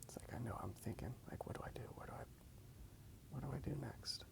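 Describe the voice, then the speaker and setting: Whispering ASMR man with gravelly creak. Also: medium pitch, fast talker. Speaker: ASMR video creator. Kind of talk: ASMR, casual soft rambling. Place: outdoors in a park.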